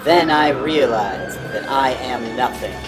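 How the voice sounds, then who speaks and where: A man puts on a mythical satyr warrior from a fantasy tale, grandiose and fatalistic. He declaims very slowly and deliberately in a mid-range pitch. Clear music plays behind him. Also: natural voice. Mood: fatalistic, longing. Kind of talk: storybook character voice.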